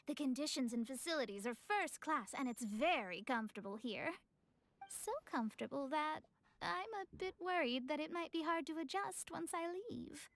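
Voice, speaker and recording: A young astrologist from a fantasy game with a very high, girlish pitch. She is proud and poised, with formal, theatrical phrasing. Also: expressive, normal speed, a bit bright, slight background noise. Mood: poised, polite. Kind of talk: video game voice acting.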